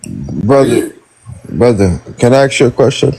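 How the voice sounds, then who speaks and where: A man's voice: medium pitch, sing-song, a little slow, creaky, dark tone, breathy edge, low-quality recording. A man in an online debate who stammers and repeats words as he answers. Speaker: debate participant.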